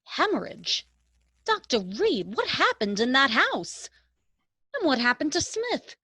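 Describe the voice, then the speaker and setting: A woman's voice: high-pitched, sing-song, slow pace, sharp tone, no echo, heavily acted. An actress in a radio play, playing a concerned, formal woman who is polite but urgent.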